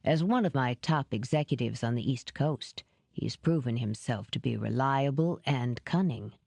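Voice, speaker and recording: A woman voicing a powerful older businessman in an audiobook, courteous, calculating and formal in a measured way. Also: rumbling low, lively intonation, gravelly, darkish tone, no room sound, pitched below the performer's own voice, full character voice. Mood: smooth, cunning.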